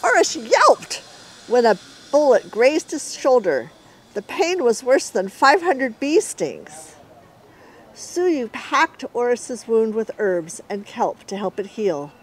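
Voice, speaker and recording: A middle-aged woman reading a children's story aloud in an expressive storyteller's way. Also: a little high, takes it slowly, husky, low-quality recording.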